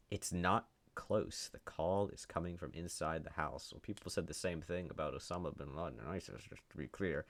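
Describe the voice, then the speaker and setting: A man with a low voice delivers off-the-cuff commentary. He reads online posts aloud and responds with sarcasm and open disbelief. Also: a bit bright. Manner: sarcastic, incredulous. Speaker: commentary host. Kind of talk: unscripted commentary, reading posts aloud and reacting.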